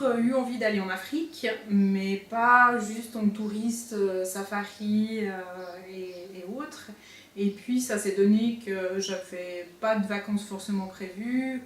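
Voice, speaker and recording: A woman in her thirties giving an interview testimonial, speaking with hesitant pauses. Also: mid-range voice. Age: 30s. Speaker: humanitarian volunteer. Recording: roomy, distant mic, faint noise.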